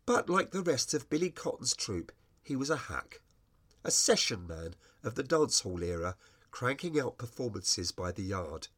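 Animated British man reading wry memoir. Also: mid-range voice, normal speed. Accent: British English. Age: middle-aged. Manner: wry, expressive storytelling. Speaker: writer reading own personal essay. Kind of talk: scripted narration of humorous memoir.

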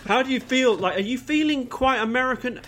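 A man's voice: extremely high pitch, a bit bright, slight background noise. A middle-aged man hosting a conversational interview, asking curious, probing questions with a dry wit. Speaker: interviewer host.

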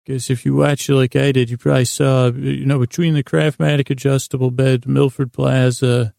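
A middle-aged man hosting a sleep podcast, delivering a meandering, halting bedtime monologue that trails off and circles back with whimsical self-deprecation. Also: medium pitch, flat delivery, creaky, mellow, dry recording, studio quality.

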